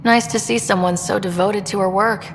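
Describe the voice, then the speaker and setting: Woman acting a creaky-voiced, composed space explorer. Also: mid-range voice, steady tone, normal speed, natural voice. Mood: composed, curious. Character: composed, earnest young female space explorer, the Pathfinder. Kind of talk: video game dialogue acting. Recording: long hall reverb, faint noise.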